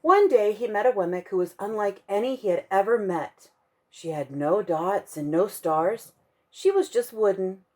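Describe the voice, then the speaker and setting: A woman reading a children's bedtime story aloud for a church kids' ministry, expressive and slowing down to stress key moments. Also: mid-range voice.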